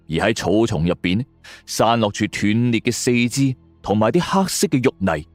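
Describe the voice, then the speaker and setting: Man telling suspense stories, very expressive. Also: on the low side, no echo. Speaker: storyteller narrating audio drama. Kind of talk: dramatic suspense story narration in the first person. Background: background music.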